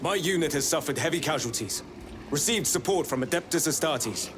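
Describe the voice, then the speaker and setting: A man voices a dutiful infantry sergeant in a video game. He is weary, formal and respectful, pitched slightly high. Engine-like noise is clear behind him. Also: expressive, brisk, lightly acted. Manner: respectful, formal military reporting. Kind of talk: video game cutscene acting.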